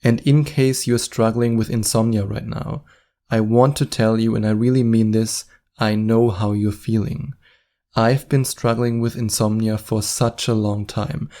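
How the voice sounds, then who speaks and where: A man's voice: lowish voice, fairly even intonation, unhurried, warm tone. A young man with a German accent, speaking as host in an earnest, reassuring self-help talk about sleep.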